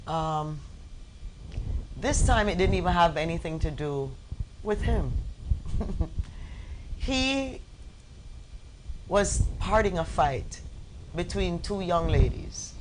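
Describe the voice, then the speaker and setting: A woman in her forties recounting a personal story in conversation, halting and repeating words for emphasis. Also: on the low side, lively intonation, background noise. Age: 40s. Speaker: interview guest, bereaved mother.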